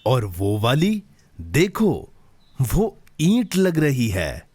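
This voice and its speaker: Slow, lively, gentle cartoon companion. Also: male voice, medium pitch, background noise, exaggerated voice. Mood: playful. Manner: kindly, encouraging, explaining simply. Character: gentle, reassuring grown-up friend and companion of a child. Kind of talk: Hindi children's cartoon dub.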